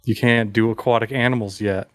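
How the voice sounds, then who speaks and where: A man playing a tabletop game, making quick joking asides. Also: on the low side, fairly even intonation, dry recording, studio quality. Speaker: player.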